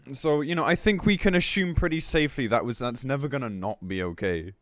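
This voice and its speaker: A man's voice: mid-range voice, brisk, gravelly, a bit bright, dry recording, studio quality. A man live-streaming in a casual, blunt, exasperated way, commenting between bits of reading aloud and swearing freely.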